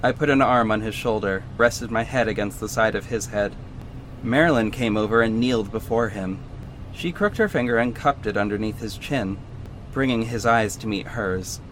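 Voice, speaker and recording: An actor playing an earnest superhero who narrates his own story in a measured, serious audio drama style. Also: lowish voice, a bit bright, slight background noise, lightly acted, rough recording. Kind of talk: scripted first-person audio drama narration.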